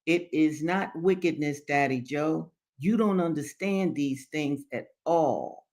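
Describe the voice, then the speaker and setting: A woman's voice: sits low, takes it slowly, a little mellow. Woman reading as a defiant, embittered man. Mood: impatient. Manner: emphatic, formal, rebuking. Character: defiant, embittered enslaved man rejecting religion. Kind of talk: dramatic novel reading.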